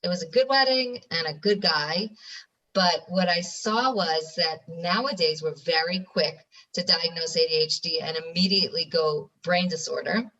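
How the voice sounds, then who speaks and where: A woman's voice: fairly low pitch, normal speed, sharp tone, recorded from a distance, lo-fi sound. A middle-aged American woman from New York, a podcast guest, telling her story earnestly with frequent restarts. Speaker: guest, ADHD educator. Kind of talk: interview on a podcast.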